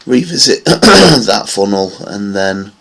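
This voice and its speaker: A man's voice: lowish voice, sing-song, takes it slowly, a bit bright, husky. A man giving casual, rambling commentary on silver price charts, with many fillers. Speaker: market analysis vlogger.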